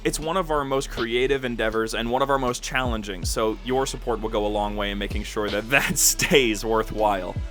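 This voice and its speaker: A man's voice: on the low side, moderate pace, hardly any pauses, fairly bright tone. A man hosting an upbeat, conversational update for fans, talking in an enthusiastic, informal and emphatic way. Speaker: podcast host and producer giving a channel overview. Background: background music.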